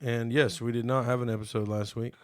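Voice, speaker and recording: A man co-hosting a casual podcast, playful and teasing, his voice a bit hoarse from being sick. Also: mid-range voice, level tone, no echo, studio quality. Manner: playful, teasing, drawn-out reading aloud. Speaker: podcast co-host. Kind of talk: casual chat.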